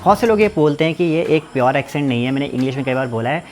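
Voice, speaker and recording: A young man talks fast in a relaxed home vlog. His speech is informal and a little repetitive as he explains things in his own words. His pitch sits in the middle of the range. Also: soft background music. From Uttarakhand, India. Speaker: vlogger. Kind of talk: casual family vlog chat. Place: village home in the hills.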